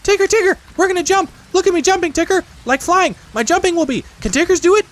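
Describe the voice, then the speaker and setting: A little kangaroo boy from a children's storybook, bubbling with glee. The voice is squeaky and shrill, far up in pitch. It leaps about with excitable chatter. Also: fast. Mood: wildly excited. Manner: breathless, excited chatter with exclamations.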